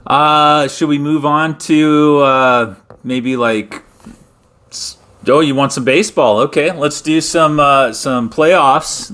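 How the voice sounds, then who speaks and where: A man's voice: mid-range voice, fairly bright tone. A middle-aged man hosting a casual sports talk show, laughing easily and steering the topics. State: injured wrist. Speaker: host.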